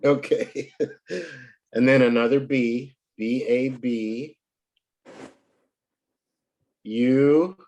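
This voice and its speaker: A man teaching a child in English, his voice a little hoarse from a cold. He speaks slowly and patiently, at a middle pitch, and keeps clearing his throat. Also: steady tone, a little mellow, highs cut off. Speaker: English tutor. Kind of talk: online one-to-one lesson.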